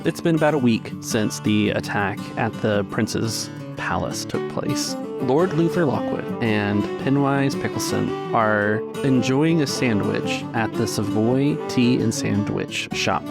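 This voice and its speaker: Guy game master setting a story scene, gravelly low voice, guitar music behind him. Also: a little slow. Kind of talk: scene-setting narration for a role-play story.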